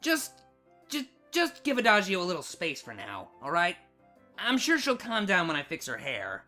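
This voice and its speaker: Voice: mid-range voice, wildly swooping pitch, moderate pace, pushed and bright, faint music behind. A performer voicing an irritable, bossy female siren with a sarcastic, exasperated manner for a story reading. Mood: weary, exasperated. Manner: sardonic, snapping, exasperated.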